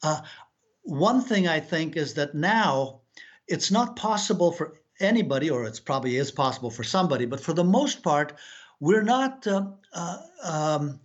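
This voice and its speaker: Thoughtful, halting man from Afghanistan, lo-fi sound. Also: a little high, animated, breathy edge. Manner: thoughtful, halting, many fillers and restarts. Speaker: author, guest. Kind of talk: reflective interview talk. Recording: dull lo-fi sound.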